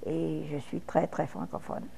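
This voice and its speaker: A woman's voice: low-pitched, level tone, unhurried, vocal fry, noisy background. A woman in her fifties from Quebec with a Québécois French accent, speaking frankly in a personal interview, with false starts. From Quebec, Canada.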